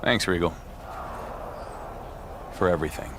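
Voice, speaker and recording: A man's voice: deep voice, measured pace, creaky, a bit bright, a little hiss or hum, full character voice. A man playing a battered, self-doubting wrestling champion in a video game cutscene. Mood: tired, grateful.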